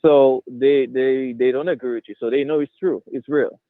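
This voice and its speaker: A man's voice: mid-range voice, fairly even intonation, normal speed, dry recording. A man from Haiti calling in, talking hesitantly with many stammering restarts. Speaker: caller. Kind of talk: casual debate. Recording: tinny phone line.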